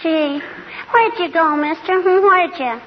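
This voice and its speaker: High-pitched, cheeky little girl. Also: measured pace. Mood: inquisitive, sly. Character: cheeky, nosy little neighbour girl who needles grown-ups. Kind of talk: 1940s radio comedy acting. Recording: muffled, noisy old 1940s radio recording.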